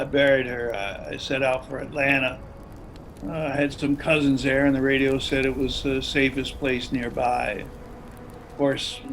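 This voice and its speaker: A man's voice: medium pitch, fairly bright tone, lightly acted. A man playing an older, folksy retired salesman and widower in a zombie drama table read. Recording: video-call read over music.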